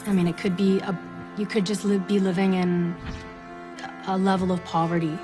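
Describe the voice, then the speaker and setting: A woman's voice: mid-range voice, level tone, moderate pace. A woman artist being interviewed, speaking hesitantly with restarts. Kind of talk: casual interview. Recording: interview with clear background music.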